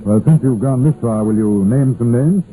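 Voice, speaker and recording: An actor playing a composed Scotland Yard chief inspector who narrates his case in measured, deliberate phrases in a 1950s radio crime drama. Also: mid-range voice, natural voice. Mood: probing, calm. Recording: muffled old radio recording with faint music.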